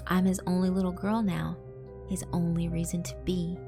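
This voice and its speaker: A woman narrating a first-person horror story in a measured, dark way, with hushed asides. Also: on the low side, level tone. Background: faint background music.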